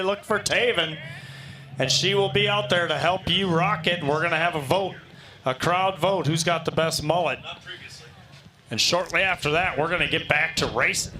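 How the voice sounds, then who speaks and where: A man's voice: high voice, bright, forceful tone, slight background noise. A man announcing a live motorsport event, bantering and joking with his co-host. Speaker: race announcer.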